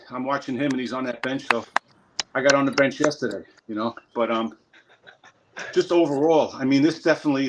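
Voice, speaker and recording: A man's voice: medium pitch, fairly bright tone, a little hiss or hum, low-quality recording. A man hosting a workout stream outdoors in a park, chatting casually, rambling and swearing freely. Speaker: workout channel host.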